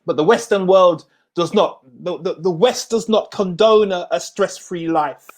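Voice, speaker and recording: A middle-aged man who grew up in Jamaica hosts an easygoing chat show. His voice sits high for a man, and he trips over his first words before landing on emphatic, repeated catchphrases. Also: a little slow. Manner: stammering repeats, laughs often, emphatic slogans. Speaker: host. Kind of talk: casual chat.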